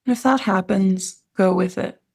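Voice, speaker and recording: A woman leading a guided meditation as an instructor, speaking in gentle, soothing phrases with pauses between them. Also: medium pitch.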